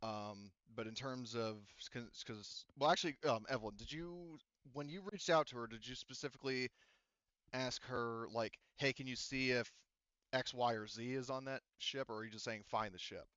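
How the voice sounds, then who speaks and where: A man's voice: mid-range voice, moderate pace, a bit bright, clean tone, no echo, highs cut off. A man acting as game master, explaining rulings and story in a rambling way with lots of fillers. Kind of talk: narrating a tabletop game.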